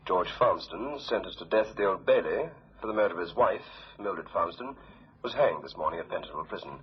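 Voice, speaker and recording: An actor playing a grave, formal British newsreader heard through a radio set. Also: male voice, low voice, lively intonation, brisk, muffled recording. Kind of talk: scripted news reading.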